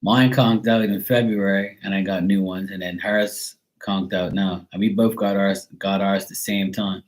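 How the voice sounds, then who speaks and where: Man from Bermuda, stream host, loose chatty rambler, a touch low in pitch. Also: steady tone, normal speed, poor audio. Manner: rambling, chatty storytelling with frequent fillers. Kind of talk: casual chat.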